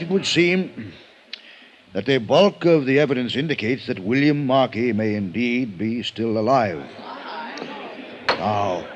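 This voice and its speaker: Actor as a formal, deliberate male judge. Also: mid-range voice, muffled recording, lightly acted. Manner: deliberate, pompous legal phrasing. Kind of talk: 1950s radio drama acting. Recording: vintage radio recording, faint noise.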